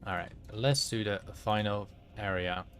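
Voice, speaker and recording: Low-voiced Dutch man narrating gameplay. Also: a bit bright, slight background noise. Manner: halting, many fillers, searches for words. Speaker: streamer. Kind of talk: casual gameplay commentary.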